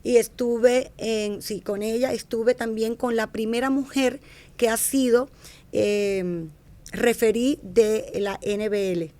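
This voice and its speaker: Animated higher-voiced woman. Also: takes it slowly. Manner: animated, warm storyteller, acts out quoted speech, restarts and corrects herself. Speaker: podcast guest, fashion entrepreneur. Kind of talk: casual interview storytelling.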